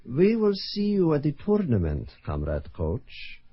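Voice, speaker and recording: An actor playing a scheming Soviet political commissar in a vintage radio drama, suave and patronizing with quiet menace. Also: male voice, fairly high pitch, huge pitch swings, a little slow, slightly rough, no room sound, soft background music, exaggerated voice. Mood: smooth, menacing. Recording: muffled old radio broadcast.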